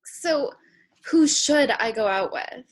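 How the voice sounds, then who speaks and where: Actress as indignant young girl, high voice, slow and really swoopy, stage comedy read. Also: lively intonation, full character voice, rough recording. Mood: skeptical. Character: idealistic, stubborn young girl meeting her future selves. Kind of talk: comedy play read aloud.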